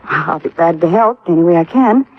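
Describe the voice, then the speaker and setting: An American actress playing a cool, unsentimental widow in a 1950s radio crime drama, composed, curt and evasive. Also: female voice, lowish voice, expressive, normal speed. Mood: cool, polite. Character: cool, unsentimental young-sounding widow, defiant under questioning. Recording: muffled vintage radio recording.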